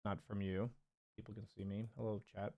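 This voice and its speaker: A man's voice: lowish voice, level tone, a little fast, dull audio. A man coaching a player one-on-one in a loose, chatty way, with lots of fillers and the odd swear word. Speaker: game coach. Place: streaming from home.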